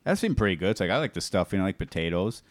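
A man hosting a podcast, chatting casually in a halting, rambling way with some joking. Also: low-pitched, very animated, dry recording, studio quality. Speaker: podcast host. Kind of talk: casual chat.

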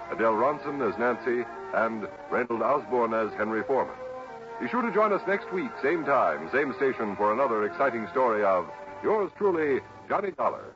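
A man announcing in a scripted mid-century radio style, as a programme announcer. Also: mid-range voice. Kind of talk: 1950s-60s radio announcing, scripted credits. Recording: dull vintage radio recording with music.

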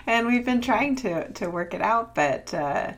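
Relaxed young woman podcast co-host. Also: on the high side, unhurried. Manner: laughs often, relaxed, fillers. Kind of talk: casual podcast chat. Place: rented apartment room.

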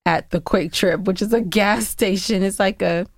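A woman's voice: medium pitch, normal speed, gravelly, no echo, studio quality. A woman co-hosting a podcast, telling stories in casual, playfully argumentative banter. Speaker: podcast co-host.

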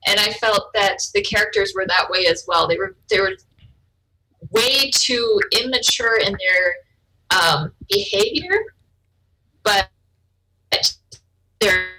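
Casting: young woman, German first language, bright tone, off-mic, chatty and giggly. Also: medium pitch, recorded from a distance, slight background noise, poor audio. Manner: chatty, laughs often, trails off and restarts. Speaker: author and artist, interview guest. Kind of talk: casual interview conversation.

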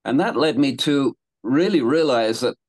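A man's voice: medium pitch, expressive, normal speed, a little mellow, no echo, studio quality. A man in his seventies from England, telling his life story as a guest in a casual interview, rambling with restarts and laughing between thoughts. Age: 70s.

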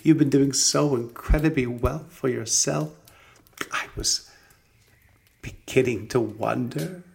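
Man as eccentric fairy godmother, very creaky voice, kindly, affectionate, reassuring, storybook character. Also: medium pitch, slow, long pauses, a little mellow. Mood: warm, teasing.

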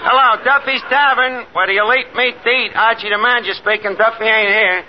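A noisy, muffled 1950s radio recording carries a scheming tavern manager. He is a high-voiced man who wisecracks in slang and puffs himself up with big words. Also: steady tone, a little fast. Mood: wry, wisecracking. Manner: slangy wise guy using pompous big words. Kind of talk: 1950s radio comedy acting.

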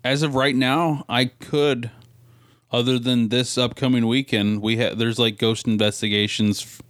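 A man co-hosting a podcast, talking in a casual, colloquial way with halting phrasing. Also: mid-range voice, little pitch movement, fairly bright tone, no room sound, studio quality. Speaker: podcast co-host. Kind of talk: casual chat.

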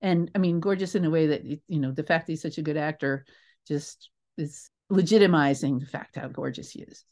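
An older woman speaking as an interview guest, telling anecdotes in an expressive, emphatic, rambling way with asides. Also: low voice, fairly quick. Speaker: guest, theatre creator. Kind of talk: animated anecdotal storytelling in an interview.

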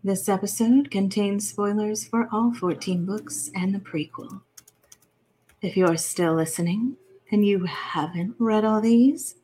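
A woman reading a scripted announcement as a warning, in a breathy, teasing, sultry manner. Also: medium pitch, darkish tone, low-quality recording.

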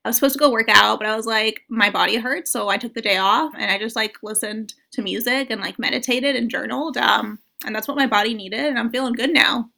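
Young female podcast guest, bright voice with plenty of vocal fry, informal conversational delivery. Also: on the high side, brisk, few pauses. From born in Morocco, grew up in the US and Morocco. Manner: informal, peppered with "like". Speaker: podcast guest, strength coach. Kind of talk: casual chat.

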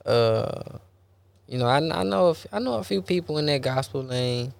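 A man's voice: a little high, animated, moderate pace, vocal fry. A young adult man, a gospel rapper talking loosely in a casual interview, rambling and dropping bro and man often.

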